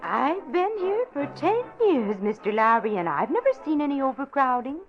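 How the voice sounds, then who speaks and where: An actress playing a sweet, loyal old granny in an asylum, coy and deferential, in 1940s radio style. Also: female voice, sits high, very animated, normal speed, faint music behind. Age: older. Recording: dull old radio recording.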